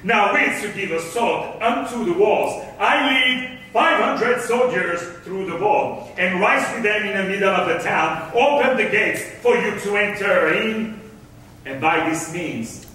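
An actor playing a scheming, vengeful merchant in classical verse on stage, with a theatrical, declamatory delivery. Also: male voice, high voice, lively intonation, a little slow, bright, forceful tone, a little hiss or hum, full character voice. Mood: sly, boastful. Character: scheming, vengeful old merchant Barabas, gleefully defiant villain. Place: large indoor auditorium stage. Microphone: distant stage microphones. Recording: echoey theatre stage from far off.

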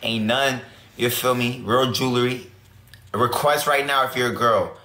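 Hoarse young man from the Bronx. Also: medium pitch, fairly even intonation, measured pace, roomy sound, a loud crowd. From the Bronx, New York. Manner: slangy, laughing, teasing. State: hoarse, losing his voice. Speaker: livestream guest. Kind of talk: casual livestream banter. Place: indoor room. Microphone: phone at arm's length.

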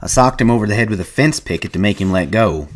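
A man voicing a young ranch hand, earnest and protective, talking in a rough rural drawl. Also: fairly low pitch, animated, fast talker. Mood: worried.

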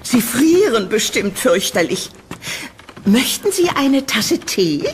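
Woman doing a sweet old-fashioned elderly landlady, high swoopy voice, fussy, audio drama. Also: sing-song, slow, slight background noise, heavily acted. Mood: welcoming, fussy. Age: older. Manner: fussy, motherly, old-fashioned phrasing, hesitant interjections.

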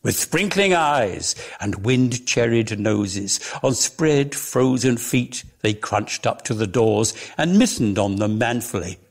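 A man giving an expressive, theatrical reading of lyrical prose as a measured storyteller. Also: mid-range voice. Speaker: reader of a dramatic story.

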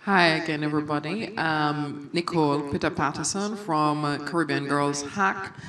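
A woman from Jamaica introducing herself on a conference panel, with many pauses and fillers. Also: low-pitched, a bit bright, slight background noise. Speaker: NGO representative. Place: conference room. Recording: distant mic in conference room.

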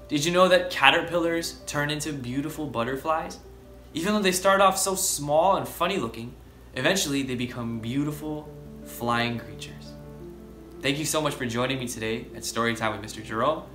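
A young man hosting a children's story time, reading aloud in a deliberate manner and speaking directly to young listeners. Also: medium pitch, fairly bright tone. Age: young adult. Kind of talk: read-aloud storytelling for preschoolers. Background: background music.

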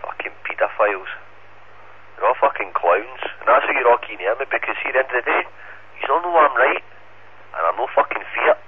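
A man's voice: mid-range voice, lively intonation, fairly quick. Scottish guy on a ham radio, sweary and up for a fight, thin crackly sound. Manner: sweary, confrontational. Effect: two-way radio. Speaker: amateur radio operator. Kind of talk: casual radio chat. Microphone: radio transceiver. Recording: tinny band-limited radio link, static noise.

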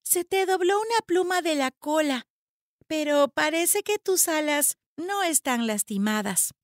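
A woman's voice: high-pitched, lively intonation, measured pace, dry recording, studio quality, pitched above the performer's own voice. A woman voicing a kind, bold young girl in a storybook, earnest and caring toward animals. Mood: tender. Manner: tender, earnest, encouraging.

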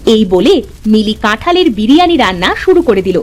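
A woman's voice: fairly high pitch, very animated, normal speed, a little mellow. A woman narrating a children's cartoon tale in a scripted storybook style.

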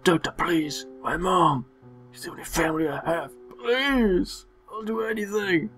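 Casting: male player acting haunted, anxious young man in a game, hushed and breathy, music behind. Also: sits high, a little slow, pitched above the performer's own voice. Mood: anxious pleading. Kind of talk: hammy game dialogue reading.

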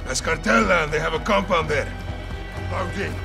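An actor playing an urgent, commanding special forces soldier in a military shooter game, barking clipped orders. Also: male voice, a little high, normal speed, gravelly. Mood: brisk. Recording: loud action music and gunfire behind.

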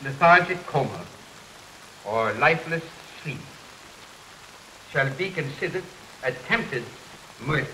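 Hissy old film feisty old preacher. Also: male voice, fairly high pitch, expressive, slow, long pauses. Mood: firm, deliberate. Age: older. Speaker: actor playing a preacher. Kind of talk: 1930s film acting. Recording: hissy, noisy 1930s film soundtrack. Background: rain and hiss.

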